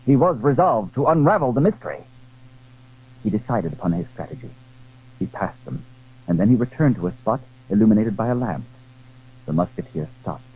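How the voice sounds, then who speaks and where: A man narrating a period adventure story in a measured, literary, dramatic style. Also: lowish voice, sing-song, slightly rough, narrow, tinny audio.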